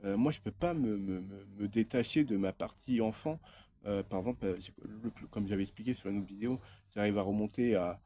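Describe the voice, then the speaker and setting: A man's voice: lowish voice, fairly quick, warm tone. A man in his thirties from France, talking in a rambling, hesitant personal monologue full of fillers and restarts. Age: 30s. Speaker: vlogger.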